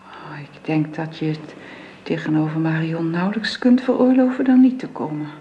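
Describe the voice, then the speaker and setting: An actress plays a composed, gently insistent wife in a radio drama. Her voice is very low, with deliberate, probing delivery. Faint noise runs under it. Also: dull audio, lightly acted. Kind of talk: radio play acting.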